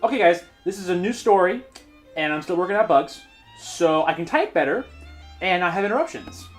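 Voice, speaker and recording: A man hosting his channel, reading bad fanfiction aloud in an over-the-top, mocking dramatic style with sudden shouts. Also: high-pitched, expressive, fairly quick, soft background music. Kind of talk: mock-dramatic comedic reading.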